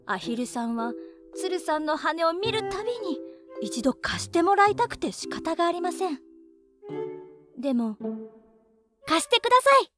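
A woman narrating a children's storybook in an expressive, clearly phrased read-aloud style. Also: very high-pitched, moderate pace. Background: children's background music.

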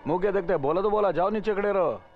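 A man's voice: high-pitched, rapid-fire, lightly acted. A young man playing a scheming, cowardly politician in a gangster film spoof, theatrical and repeating his phrases. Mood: smug, scheming. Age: young adult. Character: scheming, corrupt politician who turns cowardly and pleading. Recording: muffled audio with background music.

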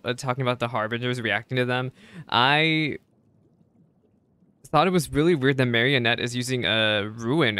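A young man with a smooth, clean voice at a middling pitch. He gives casual gaming reaction commentary, chatty and a little hesitant, repeating himself as he goes. He is close on a desk microphone indoors. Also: pushed and bright. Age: young adult. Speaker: game streamer. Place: indoor streaming setup. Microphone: close desk microphone, headset worn.

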